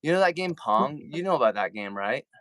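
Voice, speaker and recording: A man's voice: mid-range voice, sing-song, a little fast, over a noisy crowd. A middle-aged man talking casually as a livestream co-host, often checking for agreement with short tag questions. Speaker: musician and live stream co-host.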